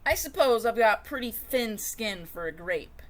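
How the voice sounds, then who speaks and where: A woman's voice: a little high, expressive, a little slow. Woman playing a grumpy, snappy little sour grape. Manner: snappy, exasperated, emphatic. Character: grumpy, grudge-holding sour grape, touchy and dramatic. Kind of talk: first-person children's storybook read-aloud.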